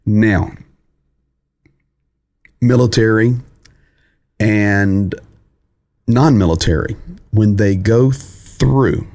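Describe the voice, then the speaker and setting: A man hosting a live war update stream, talking casually to viewers in halting, pause-filled phrases and answering chat comments. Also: lowish voice, a little mellow. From United States. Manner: conversational, halting phrasing with many pauses and restarts; greets viewers and reads chat comments aloud.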